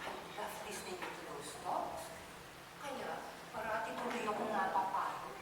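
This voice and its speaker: A woman's voice: mid-range voice, moderate pace, natural voice. A woman acting a blunt, cheeky senior in a stage play, with a Filipino accent and a theatrical, scolding manner. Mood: wistful, cheeky. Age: older. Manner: theatrical, scolding, switching between Tagalog and English. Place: community hall stage. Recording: echoey hall, far mic, noisy.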